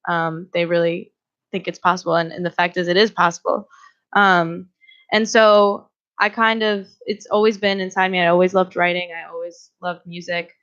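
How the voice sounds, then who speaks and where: A woman's voice: on the low side, flat delivery, moderate pace, fairly bright tone. A woman in her twenties whose first language is Greek, chatting as a musician guest on a radio show, laughing often and using a lot of ums. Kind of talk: casual interview chat. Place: small radio studio in a church. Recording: slightly dull lo-fi radio sound.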